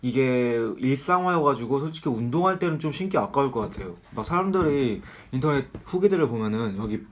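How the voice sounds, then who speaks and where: A man's voice: medium pitch, lively intonation, fairly quick, hardly any pauses. A man with a cold giving a casual, candid sneaker review in a nasal, slightly strained voice, joking about being broke. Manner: chatty, candid, self-deprecating about money.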